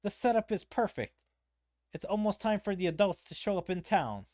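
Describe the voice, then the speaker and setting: A streamer voicing excitable, childish fantasy game characters by reading their dialogue aloud dramatically. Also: mid-range voice, moderate pace, no echo, clean studio sound, close to an everyday voice. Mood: gleeful announcing.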